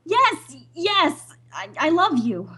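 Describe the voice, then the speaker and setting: A woman's voice: very high-pitched, big pitch swings, slow pace, pushed and bright, full character voice. A woman playing an anguished young island daughter torn by love, pleading breathlessly in an old-time radio melodrama. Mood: urgent pleading.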